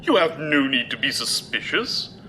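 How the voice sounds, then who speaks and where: A man's voice: mid-range voice, very animated, moderate pace, sharp tone, a little hiss or hum, poor audio. A man playing a courteous, loyal aide to a noblewoman in an audio drama, speaking formally, precisely and deferentially. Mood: polite, dry.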